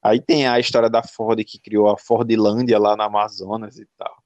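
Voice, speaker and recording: A man's voice: on the low side, little pitch movement, moderate pace, darkish tone, no echo, dull audio. A Brazilian man in casual chat, thinking aloud with many restarts and filler words.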